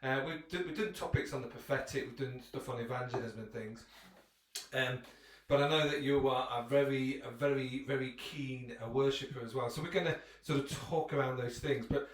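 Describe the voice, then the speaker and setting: A man's voice: mid-range voice, a little fast, roomy sound. A man hosting a podcast as an informal, jokey interviewer, chatting casually in person in a front room. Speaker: podcast host. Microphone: improvised iPhone setup.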